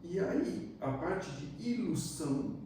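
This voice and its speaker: A man from Argentina, a psychiatrist guest talking in a relaxed, reflective way with many fillers. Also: high voice, slow pace, a little hiss or hum. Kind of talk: casual interview chat. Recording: echoey room, distant mic.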